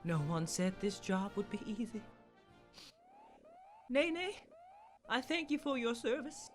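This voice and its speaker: A woman's voice: on the high side, sing-song, slow pace, faint music behind. A woman playing an earnest, solemn President facing a nuclear attack in a comedic radio play, theatrical and grandiose. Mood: solemn, grave.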